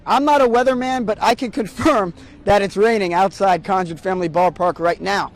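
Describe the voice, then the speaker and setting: A man's voice: high-pitched, fairly quick. A young man doing sports broadcast commentary as a play-by-play announcer and reporter. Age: young adult.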